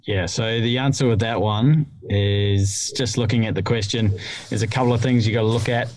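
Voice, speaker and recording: A man's voice: fairly low pitch, fairly even intonation, moderate pace, darkish tone, a little hiss or hum. A man co-hosting a podcast, talking things through hesitantly with lots of fillers. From New Zealand. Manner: hesitant, many fillers, thinks aloud. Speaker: podcast co-host, rules explainer. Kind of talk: casual chat. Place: small hut at home, cold. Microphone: remote call recording.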